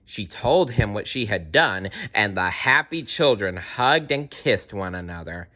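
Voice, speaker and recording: A man's voice: lowish voice, a little slow. A man reading a fairy tale aloud expressively, playfully adding his own reactions. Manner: animated, playful, reacts to the story.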